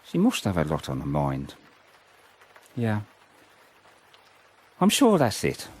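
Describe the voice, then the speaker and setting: Low, hugely animated roguish soldier. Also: male voice, slow, breathy edge, heavily acted. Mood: anxious, wistful. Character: roguish, lovelorn Irish soldier in WW1 trenches. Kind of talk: radio drama acting.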